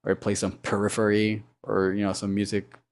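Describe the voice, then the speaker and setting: Male streamer, gravelly low baritone, sore throat, rambling thinking-aloud chat while animating. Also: completely flat delivery, fairly quick. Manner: rambling, thinks aloud, hums and mutters sound effects. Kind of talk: casual live-stream chat while working.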